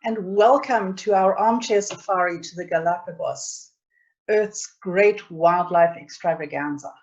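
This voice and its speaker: A woman's voice: lowish voice, a little slow. South African woman hosting a webinar. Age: middle-aged. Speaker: travel agency owner hosting a webinar. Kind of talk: presenting a live travel webinar. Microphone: computer mic on a video call. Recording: dull lo-fi webinar audio.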